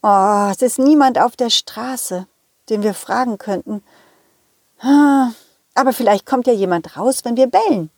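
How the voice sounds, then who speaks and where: A woman voicing a cheerful, confident dog hero in a children's audio play, animated and coaxing as the dog talks to young listeners. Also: a little high, a little slow, dry recording, studio quality. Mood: chatty, upbeat.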